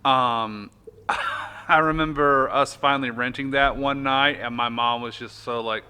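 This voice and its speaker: A man's voice: medium pitch, steady tone, a little slow, sharp tone, clean tone. A man chatting casually as a podcast host, conversational and ready with his opinions. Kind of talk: casual chat about films.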